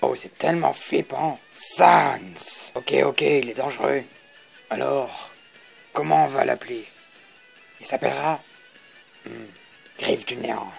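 Man acting as skeleton grouch, heavy gravel, theatrical, grumbling, comic dub, faint music. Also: medium pitch, measured pace, pauses often. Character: pompous skeleton boss and his weary, sarcastic brother. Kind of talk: amateur French comic dub.

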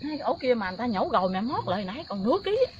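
Middle-aged woman, southern Vietnamese accent, high-pitched casual chat, muffled outdoor sound with insects. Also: big pitch swings, racing. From Ben Tre, southern Vietnam. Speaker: mushroom picker, mother of family. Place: outdoors by a creek in a coconut grove. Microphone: off-camera, picked up by filmer's device. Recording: dull outdoor recording, loud insect noise.

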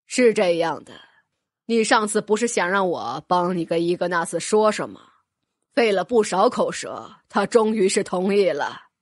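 A woman voicing a crafty old male headmaster who speaks slowly in a lowered voice. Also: big pitch swings, dry recording, studio quality. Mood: calm, sly.

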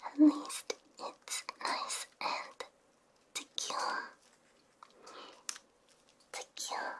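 A woman doing an ASMR roleplay as a repair technician, murmuring softly with short hums, very close on a binaural microphone. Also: drawn-out words. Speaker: ASMR host. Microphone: close binaural ear microphone.

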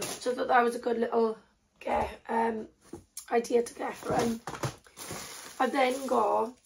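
A young woman with a croaky, sore-throated voice talks slowly and casually, full of ums and restarts. Her voice sits a little high and has a gravelly edge. She is recorded on a camera in a small bedroom with a faint hiss behind her. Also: a little mellow, rough recording. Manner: chatty, rambling with frequent ums and self-corrections. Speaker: vlogger. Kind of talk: casual haul video chat.

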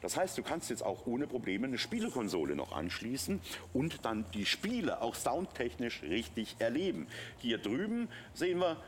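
A man's voice: mid-range voice, sing-song, normal speed, slight background noise. A middle-aged man presenting a product on a shopping channel in a chatty, enthusiastic, unscripted way with emphatic repetition. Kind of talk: unscripted live product demonstration. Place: TV shopping studio.

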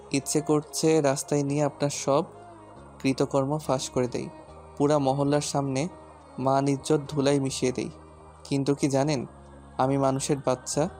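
A man acts a stubborn, protective husband in an audio drama. His mid-pitched voice is hard and commanding, staying on an even, narrow line. Soft music plays underneath. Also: normal speed, long pauses, natural voice. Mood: furious, threatening. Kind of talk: dramatised audio story acting.

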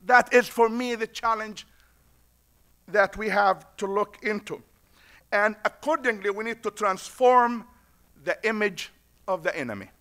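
Middle-aged imam w/ an accent speaking at a podium mic, high voice, repeats lines to drive them home. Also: male voice, pushed and bright. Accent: foreign accent. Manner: preacher-like, repeats lines for emphasis. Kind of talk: conference speech. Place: conference room at a podium.

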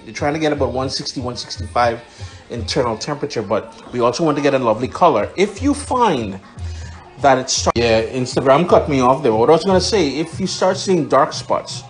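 A man with a Caribbean accent giving a casual, chatty cooking demonstration to his followers, recorded on a phone in a home kitchen. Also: mid-range voice. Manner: chatty, instructive, playful interjections. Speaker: home cook and cooking vlogger. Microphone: handheld phone filming the food.

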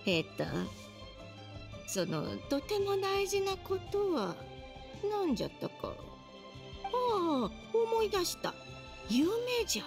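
A woman's voice: extremely high pitch, huge pitch swings, slow, long pauses, exaggerated voice. A woman voicing a forgetful, cheerful older grandmother, with old-fashioned speech and a musing way of talking. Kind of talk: Japanese game dub. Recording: music under the dialogue.

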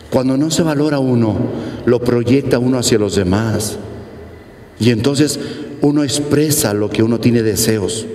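A man's voice: mid-range voice, a little mellow. A man in his forties with a Mexican Spanish accent, giving a homily at Mass, preaching earnestly and repeating phrases for emphasis. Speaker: priest. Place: large church sanctuary, at the pulpit. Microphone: pulpit mic, medium camera shot. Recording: echoey church with long reverb.

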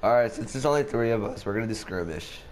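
A man's voice: fairly low pitch, expressive, quick pace, slight background noise. A young man with a sick, cracking voice, giving laid-back commentary while playing a game. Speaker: gaming channel host. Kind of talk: casual gameplay commentary.

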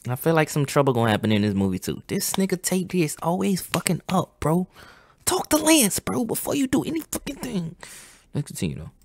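A man's voice: a little high, brisk, vocal fry, dry recording, clean studio sound. Slangy young man reacting at home. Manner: slangy, excitable, says bro a lot. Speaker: reaction channel host. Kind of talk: casual reaction commentary. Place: home gaming setup, indoors. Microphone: headset.

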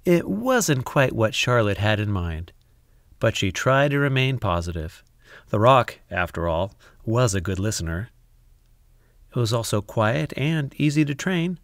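A man reading a children's story aloud, expressively and deliberately with dramatic pauses. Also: medium pitch, big pitch swings, fairly bright tone, dry recording, studio quality. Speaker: storybook reader. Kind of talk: scripted children's story narration.

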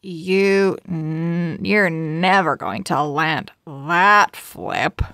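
A woman voicing a haughty, boastful female pet in a children's storybook, with a smug, goading, drawn-out delivery. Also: sits low, pushed and bright, no echo, studio quality, voice pushed down, full character voice. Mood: taunting.

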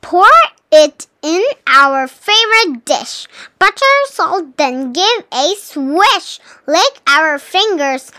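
Very high, childlike voice reading slowly. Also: expressive, pushed and bright, no echo, clean studio sound. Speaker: children's read-aloud host. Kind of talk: animated, slow storybook read-aloud for early readers.

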